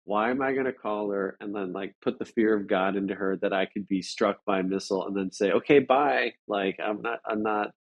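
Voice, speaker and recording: An American man from Chicago telling stories casually as an interview guest, halting and rambling with lots of filler words. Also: on the low side. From Chicago, United States. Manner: halting, rambling, frequent "like" and "you know". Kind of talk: casual conversational storytelling. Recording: dull lo-fi audio.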